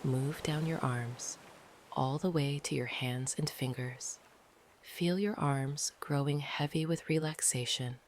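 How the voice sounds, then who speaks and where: A meditation narrator speaks in a soft, breathy voice that often slips toward a whisper. The delivery is calm, gentle and unhurried, with long pauses between phrases. Faint noisy ambience sits behind the voice. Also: medium pitch. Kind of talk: slow, soothing guided meditation narration.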